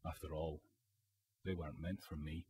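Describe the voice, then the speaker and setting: A man's voice: rumbling low, little pitch movement, moderate pace, warm tone. A man acting a weary wounded young soldier in a radio drama, sombre and reflective about the war. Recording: faint hiss, student radio drama.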